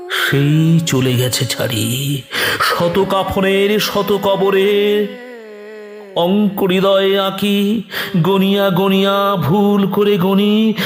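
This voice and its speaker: A man giving a dramatic, mournful, drawn-out poem recitation as a grieving old village grandfather. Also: on the high side. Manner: mournful, drawn-out, emotive delivery. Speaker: poetry reciter. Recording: echoey voice over background music.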